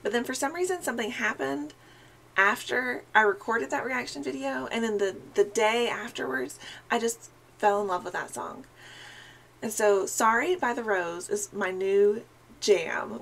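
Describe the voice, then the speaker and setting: A woman's voice: mid-range voice, fairly bright tone. A young woman with a scratchy, slightly nasal voice from a sinus infection chats casually to camera in a small bedroom, saying um often. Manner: chatty, friendly, frequent ums. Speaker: music reaction vlogger. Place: small decorated bedroom, indoors.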